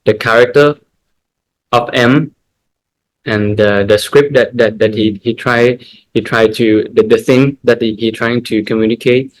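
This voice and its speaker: Young Thai man, halting English. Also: mid-range voice, fairly even intonation, low-quality recording. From Thailand. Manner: halting, repeats words while searching for English. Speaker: actor.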